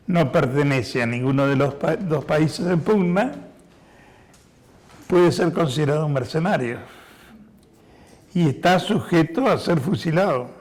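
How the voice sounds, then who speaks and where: A hoarse man in his sixties, born in Spain, giving a war veteran's testimony to an audience, explaining things carefully with many hesitations. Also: fairly high pitch.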